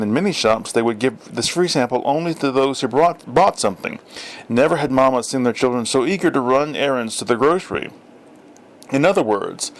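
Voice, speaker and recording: Casting: male audiobook narrator, mid-range pitch, scripted literary storytelling. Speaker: audiobook reader.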